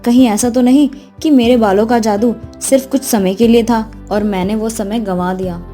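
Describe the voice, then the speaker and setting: A woman's voice: a little high, fairly even intonation, normal speed, dark tone, lightly acted. A woman playing a kind, hardworking young village girl in a fairy tale, speaking earnestly. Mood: amazed. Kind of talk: voice acting in a Hindi fairy tale. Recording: music behind the voice.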